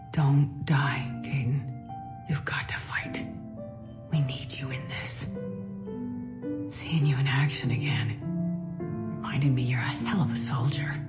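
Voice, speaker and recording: An actress playing a resolute female military commander in a game, speaking firmly and earnestly. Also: extremely low pitch. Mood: hushed, pleading. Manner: firm, earnest. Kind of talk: dramatic game dialogue. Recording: reverberant hall sound, music behind.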